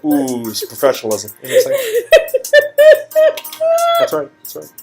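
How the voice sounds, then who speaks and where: Man acting young male talent agent, cocky, playful, very lively intonation, comedic game dialogue, faint music behind. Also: a little high, moderate pace, gravelly, full character voice. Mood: boastful, teasing. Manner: joking, hammy, repeats phrases for effect. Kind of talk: improvised comedic dialogue performance.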